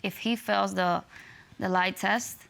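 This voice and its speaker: Young woman, Cuban accent, native Spanish speaker, creaky mid-range voice, conversational testimony. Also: medium pitch, steady tone, slow pace, a bit bright. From Cuba. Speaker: litigant on a TV court show. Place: TV studio courtroom.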